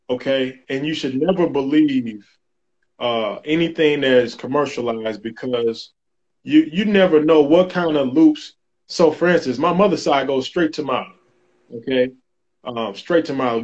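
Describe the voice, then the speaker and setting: A man in his forties explaining family history on a video call, steady and instructive, often checking in with "okay?". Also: mid-range voice, highs cut off. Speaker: genealogy guest. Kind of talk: explaining in an interview. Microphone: webcam on video call.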